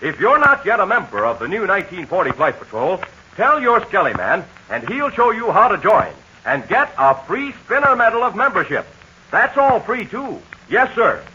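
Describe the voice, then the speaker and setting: A man announcing in a 1940s radio ad, making a peppy, folksy pitch to children. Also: very animated, moderate pace, pushed and bright, a little hiss or hum. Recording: muffled 1940s radio transcription.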